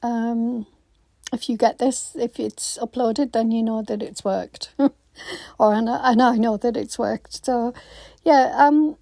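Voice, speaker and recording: Craft vlogger woman, croaky, mellow and slow, lots of ums, dry voiceover. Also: a little high, steady tone, dry recording. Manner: hesitant, many ums and restarts. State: croaky voice after illness. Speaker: craft channel host. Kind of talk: casual voiceover for a craft video. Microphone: phone.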